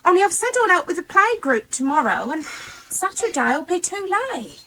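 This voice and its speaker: A woman's voice: extremely high pitch, big pitch swings, normal speed, bright, forceful tone, heavily acted. A woman playing a homely, patient young farm wife and mother in a radio soap, with a rural English accent and colloquial, coaxing talk. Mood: mildly disappointed. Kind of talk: naturalistic radio soap acting.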